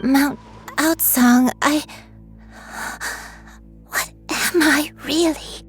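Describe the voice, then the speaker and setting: A woman voicing a frail, confused and fearful amnesiac in an anime video game, speaking haltingly. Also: sits high, fairly even intonation, faint music behind. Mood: distressed, confused. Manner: halting, hesitant.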